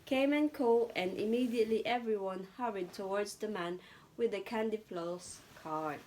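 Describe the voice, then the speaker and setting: A woman reading a storybook to her child as a mother, patiently pausing to chat and answer. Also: medium pitch, darkish tone, slight background noise, rough recording.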